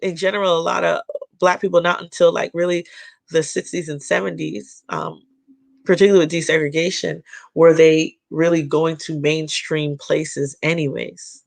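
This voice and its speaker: A woman guest in a casual podcast conversation, speaking in a thoughtful, halting way with frequent fillers and restarts. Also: sits low, level tone, creaky, dry recording.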